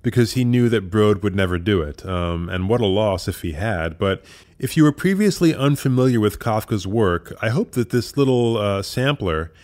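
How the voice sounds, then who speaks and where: A man with a fairly low voice presents an audio drama program. His commentary is partly scripted, with informal asides. He comes across as thoughtful and measured. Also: lively intonation, a little mellow. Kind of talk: semi-scripted host commentary.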